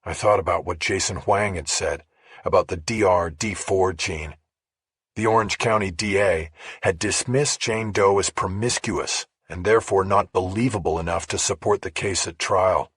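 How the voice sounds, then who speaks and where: A man's voice: low voice, moderate pace, fairly bright tone, slightly rough, no echo, studio quality. A man narrating a first-person crime thriller audiobook from a script.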